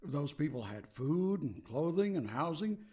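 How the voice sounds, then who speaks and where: A man as a blunt, higher-voiced arguer. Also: big pitch swings, a little slow, mellow. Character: blunt, matter-of-fact man arguing his view. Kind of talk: scripted audio play reading.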